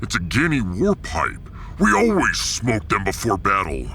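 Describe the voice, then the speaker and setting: A man's voice: fairly low pitch, huge pitch swings, normal speed, creaky, bright, forceful tone, slight background noise, voice pushed down, exaggerated voice. A man voicing a big, proud, solemn alien-world warrior with grandiose, ceremonious speech in a science fiction audiodrama.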